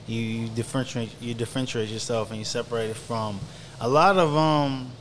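A man's voice: medium pitch, steady tone, fairly quick, slight background noise, low-quality recording. A man hosting a casual podcast, encouraging his guest and affirming with frequent "yeah". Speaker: podcast host. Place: indoor studio.

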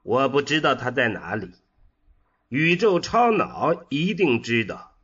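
Guy acting a curious sad immortal mind in a radio drama, lively pitch swings but really slow. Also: breathy edge, lo-fi sound, full character voice. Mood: curious, musing. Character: immortal disembodied human mind, curious and melancholy. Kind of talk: audio drama dialogue.

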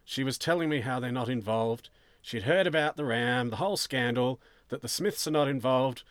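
A man's voice: mid-range voice, normal speed, no echo, natural voice. A man playing a nervous, bewildered male suspect in a story, polite but defensive and hesitant. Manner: hesitant, perplexed, protesting.

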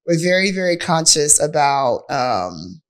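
A woman with a notably low voice chats as a podcast co-host. She talks casually and candidly, like someone at ease with her partner, and has a playful, teasing streak. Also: sing-song, a little slow. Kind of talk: casual couples chat.

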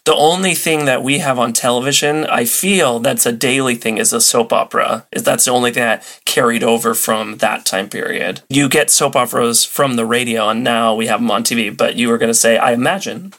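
A man with a mid-range voice chats as a podcast guest. He explains things like an enthusiast, casually and with animated, joking ease. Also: medium pitch, brisk, hardly any pauses. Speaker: podcast guest, old-time radio enthusiast.

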